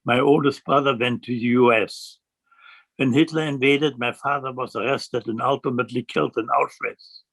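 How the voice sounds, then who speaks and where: A man's voice: medium pitch, steady tone, normal speed, creaky, fairly bright tone, husky, rough recording. A man in his nineties from Germany, reading a brief scripted testimony as a survivor. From Berlin, Germany. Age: 90s. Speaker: Holocaust survivor giving testimony. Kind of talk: scripted personal narrative.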